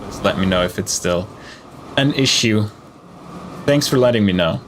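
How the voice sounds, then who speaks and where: A man's voice: on the low side, animated, moderate pace, a little hiss or hum. A man giving casual live gameplay commentary, thinking aloud as he plays. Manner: thinking aloud while playing. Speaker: game streamer.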